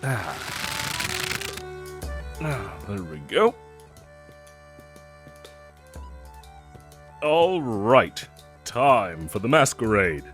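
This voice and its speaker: A man's voice: fairly low pitch, very animated, very slow, fairly bright tone, soft background music, heavily acted. A man playing a gallant, theatrical knight with a playful streak and formal, archaic phrasing in a fantasy audio roleplay. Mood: courteous, gentle.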